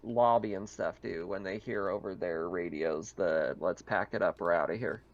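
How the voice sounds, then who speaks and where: A man's voice: low voice, normal speed. Muffled casual man running a tabletop game. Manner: casual, conversational. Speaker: game master. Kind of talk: narrating a tabletop roleplaying game.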